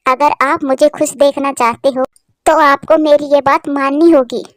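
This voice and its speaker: Woman voicing a high-pitched gentle cartoon bird wife. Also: fairly even intonation, normal speed, no echo, clean studio sound, natural voice. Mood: earnest, pleading. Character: gentle sorrowful childless female bird wife, self-sacrificing. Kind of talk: Hindi cartoon voice acting.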